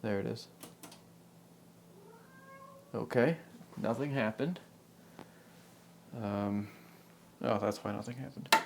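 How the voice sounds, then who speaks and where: A man's voice: lowish voice, takes it slowly, gravelly, pauses often, a little hiss or hum. An American man giving an unscripted, hands-on technical demonstration, talking casually and thinking aloud as he works through it as host. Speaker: host of a repair video channel.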